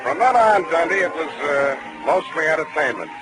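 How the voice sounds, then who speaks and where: Actor as deadpan hard-boiled radio detective. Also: male voice, on the high side, normal speed, close to an everyday voice, poor audio. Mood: sardonic. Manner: deadpan, wisecracking. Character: wry, hard-boiled male private detective. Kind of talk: 1940s radio detective narration. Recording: old 1940s radio broadcast, music behind.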